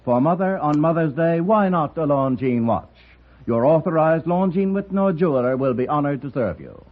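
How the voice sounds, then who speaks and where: Animated, formal 1950s American radio adman. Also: a little high, a little hiss or hum. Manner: formal, deliberate, polished. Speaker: commercial announcer. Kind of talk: 1950s radio advertisement, scripted. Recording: tinny 1950s radio recording.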